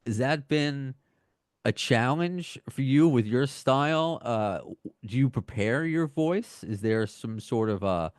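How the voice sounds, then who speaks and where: A man's voice: mid-range voice, expressive, slow pace, clean tone, no room sound, studio quality. A man hosting a podcast, asking long rambling questions with many fillers and restarts. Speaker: podcast host. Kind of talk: interview questions.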